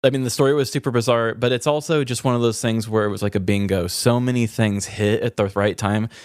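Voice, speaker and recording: A man's voice: mid-range voice, fairly even intonation, fast talker, smooth, dry recording, clean studio sound. A man co-hosting a podcast in casual, candid chat, rambling a little and swearing freely. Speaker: podcast co-host and story writer.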